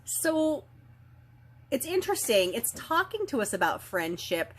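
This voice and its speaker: Woman w a head cold, bit gravelly and hoarse, easy spiritual card-reading chat, lots of ums. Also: fairly high pitch, big pitch swings, a little slow. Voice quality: slightly gravelly, raw throat. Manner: soft spiritual talk with many ums and trailing phrases. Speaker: oracle card reader. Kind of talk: daily oracle card reading, chatty and unhurried. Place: indoor filming setup lit by ring lights.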